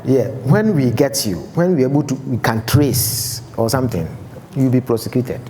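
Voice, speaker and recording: A man's voice: mid-range voice, lively intonation, moderate pace, mellow. A man giving a fire safety seminar, explaining step by step and checking that the audience understands. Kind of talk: seminar lecture. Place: large lecture room. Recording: echoey hall with faint background noise.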